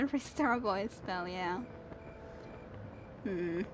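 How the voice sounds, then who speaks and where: A young woman streaming a game and chatting with viewers in a soft, musing way; English is not her first language and her voice is worn out from long reading. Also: mid-range voice, expressive, extremely slow, background noise. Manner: soft, musing, self-talking. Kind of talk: casual gameplay chat with viewers. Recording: game music in background.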